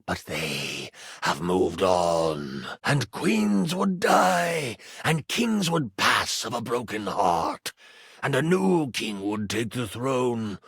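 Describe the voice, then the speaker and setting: An evil old demonic king from a fantasy game. He speaks slowly in a low, creaking growl, grandiose and menacing, as if every word were a royal decree. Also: very animated, fairly bright tone, dry recording, clean studio sound, heavily acted. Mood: brooding, grandiose. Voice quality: gravelly, breathy growl. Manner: slow, imperious, theatrical.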